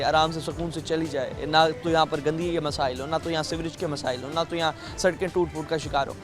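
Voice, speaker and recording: A male news anchor with a somewhat high voice. He reports in an earnest, drawn-out way and stops often on hesitant fillers. Clear music plays behind him. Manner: earnest, drawn-out delivery with many filler pauses. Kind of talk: formal news reporting and studio interview.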